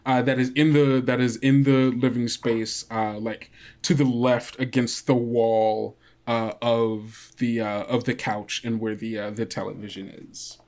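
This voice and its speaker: Man running a tabletop game, halting delivery. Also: mid-range voice, little pitch movement, a little mellow. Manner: halting, descriptive, thinking aloud. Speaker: game master. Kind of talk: improvised tabletop game narration.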